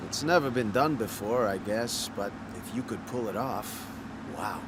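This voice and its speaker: A man's voice: lowish voice, unhurried, natural voice. An actor playing a friendly, deferential male aide in conversational video game dialogue. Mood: polite, curious. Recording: noisy moving-vehicle ambience.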